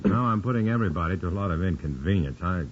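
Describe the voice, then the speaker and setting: A man's voice: low voice, lively intonation, rapid-fire. A man in his thirties playing a polite, wary undercover agent who is courteous and firm. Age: 30s. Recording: tinny, hissy old radio broadcast.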